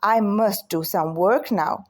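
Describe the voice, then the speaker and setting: A woman reading the part of a brisk, authoritative male show director in a children's storybook. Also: medium pitch, voice pushed down. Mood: instructing. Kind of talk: children's storybook read-aloud.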